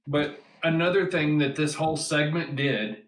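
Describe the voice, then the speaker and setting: A man's voice: fairly high pitch, fairly even intonation, moderate pace, reverberant, rough recording. A man co-hosting a casual wrestling podcast, joking and laughing along.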